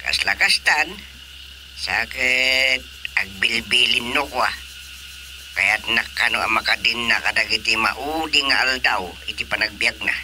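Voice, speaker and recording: A man's voice: medium pitch, a little slow, noisy background, lightly acted. An actor playing a plain-spoken elderly father with an Ilocano Filipino accent in a radio drama. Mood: confiding.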